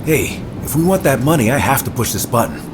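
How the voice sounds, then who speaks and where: An actor playing a terse, dry-witted American heist leader in an action video game. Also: male voice, mid-range voice, very animated, fairly quick, background noise, close to an everyday voice. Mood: steady, focused. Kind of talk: video game voice acting, naturalistic crime drama dialogue. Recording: loud game soundtrack behind voice.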